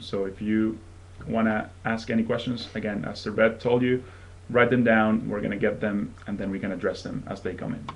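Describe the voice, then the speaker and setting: A young man from Venezuela in his twenties presents his college to prospective students in a friendly, chatty way. His voice sits on the low side, and he pauses often with ums. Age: 20s. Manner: friendly, conversational, with frequent ums. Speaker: college admission fellow.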